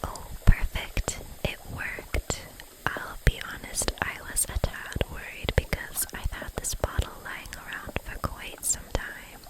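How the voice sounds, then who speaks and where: Woman doing whispery asmr as a caring witch, super slow, gentle and reassuring. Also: low-quality recording. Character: gentle, caring kitchen witch making potions. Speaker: ASMR roleplay host.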